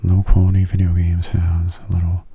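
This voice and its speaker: Male ASMR gamer, very low and gravelly, soft-spoken, gentle, breathy, unhurried delivery. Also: completely flat delivery. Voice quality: soft, breathy, often half-whispered. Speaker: gamer giving gameplay commentary.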